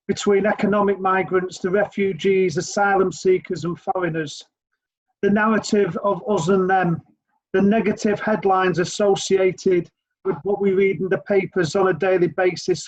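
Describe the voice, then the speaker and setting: A man's voice: sits high, muffled recording. A man giving an earnest, rallying speech as a trade union speaker over a video call, with frequent hesitations. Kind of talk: prepared speech at an online event.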